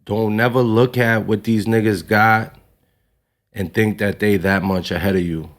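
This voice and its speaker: A man's voice: lowish voice, steady tone, a little slow. A young man from Long Island, a rapper guest, talking casually in slang during an interview. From Long Island, New York. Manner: laid-back, slangy, repeats himself.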